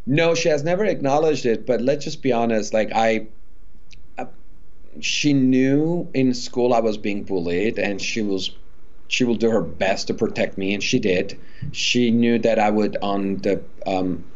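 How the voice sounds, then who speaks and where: A man from Iran, a guest speaking candidly and earnestly in an interview, often pausing and restarting his sentences. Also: lowish voice, a little mellow, dull audio. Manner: earnest, halting, with many restarts.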